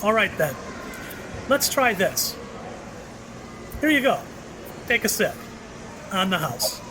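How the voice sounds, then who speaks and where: A mysterious, ageless shopkeeper played by a man, with a high voice that moves about in animated swings. He is slyly wry and cryptic, teasing out his hints as in a radio play, over a clearly noisy recording. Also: slow, full character voice. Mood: coaxing. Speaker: actor in an audio play. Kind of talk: audio drama acting.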